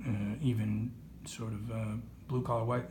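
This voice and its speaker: A man hosting a boxing talk show, speaking conversationally in wordy, halting sentences and posing rhetorical questions. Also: on the low side, flat delivery. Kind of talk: conversational sports interview. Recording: roomy echo, faint background noise.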